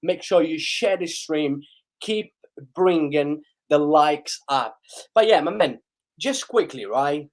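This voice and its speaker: A young man hosting a live football reaction stream, excitable and hoarse from losing his voice, urging viewers to like and share. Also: on the high side, slow pace.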